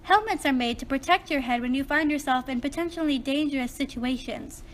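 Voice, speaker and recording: Puppet show role: woman as a plain-spoken young girl, high voice, earnest and questioning, faint noise behind. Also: brisk, lightly acted. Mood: earnest, explanatory. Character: matter-of-fact, questioning young girl puppet named Kate. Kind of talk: children's puppet show dialogue and explanation.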